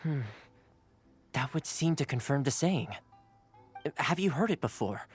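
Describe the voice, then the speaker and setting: A man's voice: on the high side, animated, unhurried, husky, soft background music. A man playing an affable, courteous young retainer and guide in a video game, explaining things in a polite, easygoing way. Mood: thoughtful, explaining. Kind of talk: video game dialogue acting.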